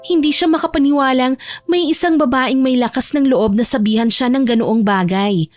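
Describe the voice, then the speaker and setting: Female audiobook narrator for romance fiction, higher register, storytelling read over background music. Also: normal speed, darkish tone, no echo, studio quality. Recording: music bed behind the voice.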